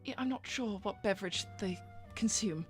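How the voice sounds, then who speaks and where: A woman's voice: mid-range voice, soft background music, natural voice. A woman playing a prim, serious young female cat in an improvised cartoon role-play, speaking formally, politely and somewhat sternly. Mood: stern, exasperated. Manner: formal, proper, measured.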